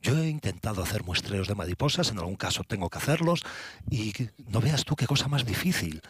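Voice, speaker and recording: A man, a naturalist guest, explaining things in a measured, informative way. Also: on the low side, sing-song, breathy edge, no room sound. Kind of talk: guest in conversation.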